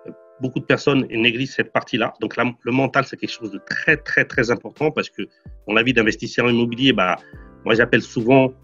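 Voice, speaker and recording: A man from Portugal in his forties answers questions earnestly as an interview guest, often stumbling over and repeating his words. His voice is husky and mid-pitched. The recording sounds slightly dull and lo-fi, with faint music behind. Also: steady tone, a little fast, a bit bright. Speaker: real estate investor guest.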